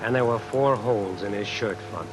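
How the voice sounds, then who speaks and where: A man's voice: lowish voice, moderate pace, close to an everyday voice, rough recording. A man acting a tough, vengeful drifter who narrates his own story in old-time radio suspense style, wry and slangy. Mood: grim, musing. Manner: hard-boiled, wry, slangy. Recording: vintage radio recording under music, rain. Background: music and rain.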